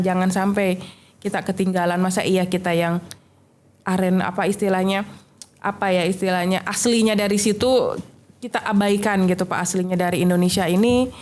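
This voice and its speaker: A woman's voice: on the low side, level tone, normal speed, a little mellow. A woman entrepreneur as a talk show guest, speaking earnestly and often addressing the host politely. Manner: earnest, often ends with "Pak". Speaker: businesswoman guest.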